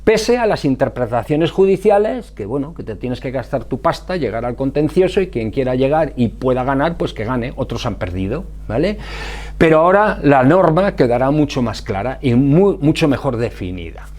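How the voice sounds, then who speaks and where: A man's voice: mid-range voice, sing-song, normal speed, a little mellow. A man with an accent from Spain explaining things informally to viewers, didactic and emphatic, often answering his own questions. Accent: Spanish from Spain. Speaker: motorcycle safety vlogger.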